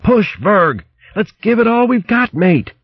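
Man as a gruff, commanding storybook sea captain. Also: low voice, sing-song, unhurried, no echo, muffled recording, heavily acted. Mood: urgent. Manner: decisive, gruff seafaring orders.

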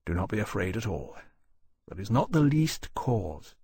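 A man voicing a proud, formal and courteous gentleman in a storybook narration. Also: fairly low pitch, moderate pace, husky. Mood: reassuring.

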